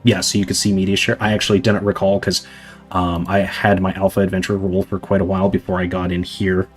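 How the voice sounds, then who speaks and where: A young man on a livestream, his fairly low voice husky and a little hoarse from hours of talking. He rambles casually through viewers' questions, padding with fillers. Faint music plays underneath. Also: little pitch movement, quick pace. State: slightly hoarse from long streaming. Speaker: livestreamer answering chat.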